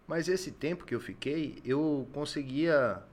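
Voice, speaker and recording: Soft-spoken man, hesitant. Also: on the high side, lively intonation, unhurried. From Salvador, Bahia, Brazil. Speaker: podcast guest. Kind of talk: casual interview answers.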